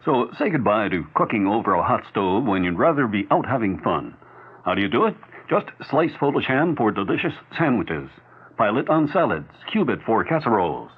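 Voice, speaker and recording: Husky, animated male ad announcer. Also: on the low side, brisk. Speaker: commercial announcer. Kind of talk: scripted 1970s radio ad read. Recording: phone-like old radio broadcast.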